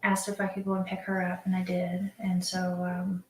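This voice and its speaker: A mother recounting the day in an interview, halting with many ums. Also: on the low side, completely flat delivery, a little mellow, roomy sound, rough recording. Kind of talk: recorded interview.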